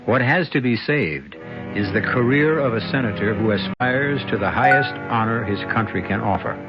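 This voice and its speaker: A man hosting a radio mystery show, narrating in a measured, dramatic way. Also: medium pitch, animated. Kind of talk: scripted narration. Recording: dull old radio broadcast with music.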